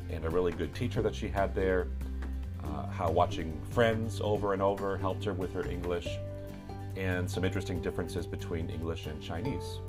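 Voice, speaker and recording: A man hosting a casual interview podcast, talking in a relaxed, hesitant way with many fillers. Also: sits low. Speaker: podcast host.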